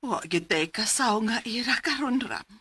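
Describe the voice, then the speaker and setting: Worried mother, bright husky voice. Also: on the high side, lively intonation, measured pace, exaggerated voice. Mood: concerned. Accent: Filipino, Cebuano-speaking. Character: worried, long-suffering mother of a wayward teen. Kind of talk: radio drama acting.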